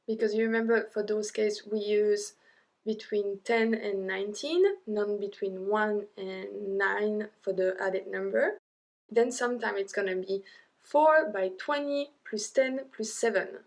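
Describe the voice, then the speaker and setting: A young woman with a French accent, teaching an online language lesson, drilling number words slowly with chatty asides. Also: medium pitch. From French-speaking Europe.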